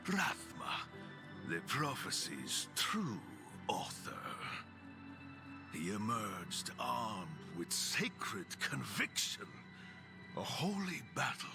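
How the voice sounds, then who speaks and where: An actor playing a fervent zealot in a video game, speaking in halting, theatrical, reverent monologue that swells with breathy devotion. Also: male voice, mid-range voice, exaggerated voice. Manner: rapturous, halting, dramatically drawn-out, breathy swells. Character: fervent, unsettlingly reverent zealot guiding a pilgrim to his holy master.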